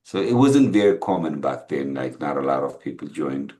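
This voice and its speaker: A middle-aged man with an Ethiopian accent, talking casually in an interview in a halting, reflective, conversational way. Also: very deep voice, lively intonation, dark tone. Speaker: podcast guest, entrepreneur. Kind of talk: casual interview, telling life story.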